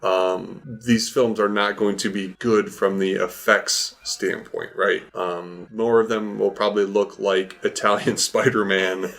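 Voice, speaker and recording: Gravelly, lower-voiced man chatting about films. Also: little pitch movement, a little slow, hardly any pauses, fairly bright tone. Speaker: podcast co-host. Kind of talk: casual film discussion.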